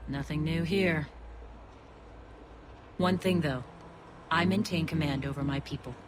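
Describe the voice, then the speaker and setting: A woman's voice: low-pitched, a little slow. A wary female militia boss in a video game, dry and stubborn, kinda firm, noisy background.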